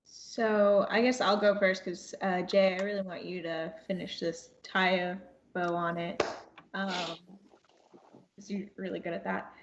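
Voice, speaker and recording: A woman's voice: mid-range voice, level tone, a little slow, slight background noise. A young woman speaking as a podcast guest, reflective and earnest, repeating phrases for emphasis. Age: young adult. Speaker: podcast guest, audio drama cast member. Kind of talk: reflective conversation. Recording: echoey room, dull lo-fi sound.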